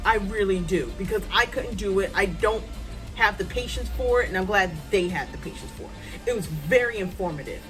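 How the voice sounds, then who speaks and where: A woman in her thirties, a writer, chatting casually to her phone's front camera at home, loud-voiced and rambling with many ums and asides. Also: mid-range voice, a little fast, soft background music. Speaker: writer vlogger. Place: hot room at home with a fan.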